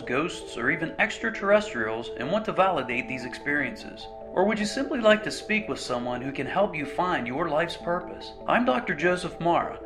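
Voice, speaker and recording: Man, scripted radio advert, fairly high pitch, prominent music bed. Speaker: advertiser promoting own services.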